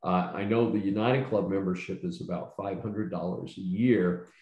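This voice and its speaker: An older man hosts a video-call panel in a low voice that sits on the deep side. He rambles, filling gaps with "uh" and restarting his sentences. The room around his webcam adds a noticeable echo. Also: steady tone, moderate pace, a little mellow, dull audio. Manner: rambling, many "uh" fillers and restarts. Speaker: host. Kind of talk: casual panel discussion. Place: home study with bookshelves.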